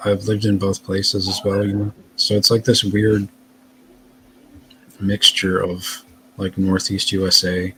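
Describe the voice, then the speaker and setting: A man with a lowish voice talks in an easy, rambling way, stopping and starting with lots of fillers. It sounds like a relaxed live stream chat. A faint hiss of noise sits under him. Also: poor audio. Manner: rambling, hesitant, many fillers. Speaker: guest.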